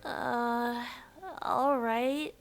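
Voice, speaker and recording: Girl playing a sad earnest cartoon friend, high n slow, music in back. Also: little pitch movement, vocal fry, full character voice. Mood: reluctant. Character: grieving, earnest, gentle female friend of the deceased. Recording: music under the voice.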